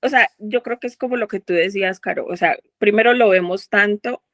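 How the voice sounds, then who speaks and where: A woman's voice: mid-range voice, a little fast, no echo, clean studio sound. A woman in a book club, talking through her thoughts in a rambling way with many fillers and restarts. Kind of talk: casual group discussion.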